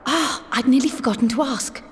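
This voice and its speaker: An actress playing a composed, thoughtful noblewoman in a fantasy video game, speaking formally and earnestly at a measured gait. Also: sits high, natural voice. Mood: gently concerned. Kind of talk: video game cutscene acting.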